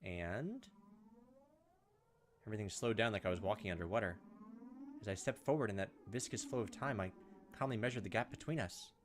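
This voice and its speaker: A man's voice: on the low side, a little fast, long pauses, a little hiss or hum. A man hosting a gameplay video, reading a visual novel aloud with theatrical, punchy delivery and joking commentary. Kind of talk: dramatic read-aloud narration mixed with joking commentary. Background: game music.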